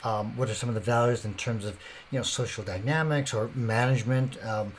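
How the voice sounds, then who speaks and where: A man hosting an interview, asking gentle, unhurried questions with frequent fillers. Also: mid-range voice, slight background noise. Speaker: interviewer, host.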